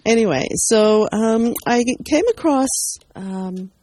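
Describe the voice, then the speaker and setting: A woman's voice: fairly high pitch, lively intonation, slow pace, dark tone. A woman with a Zimbabwean accent, a radio guest talking cheerfully and laughing often in a casual chat. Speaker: guest, feng shui entrepreneur.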